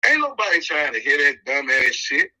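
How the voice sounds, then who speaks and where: A man's voice: a little high, big pitch swings, moderate pace, sharp tone, rough recording. A middle-aged American man telling a personal story on a podcast in casual, slangy street talk, emphatic and acting out the dialogue as he goes.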